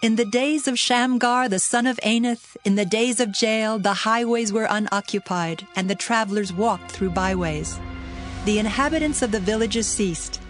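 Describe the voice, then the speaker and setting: A woman's voice: fairly high pitch, normal speed, faint music behind, natural voice. Woman playing a solemn, commanding biblical prophetess. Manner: solemn, declamatory. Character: Deborah, commanding prophetess and mother of Israel. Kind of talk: dramatized Bible reading.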